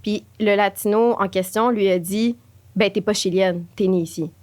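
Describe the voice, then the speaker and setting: A woman's voice: medium pitch, fairly even intonation, normal speed, a little mellow. Casting: young female podcast co-host, Quebec French accent, mid-range voice, slangy, bilingual asides. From Quebec, Canada, of Chilean parents. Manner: slangy, mixes English phrases into French. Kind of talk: casual conversational podcast chat.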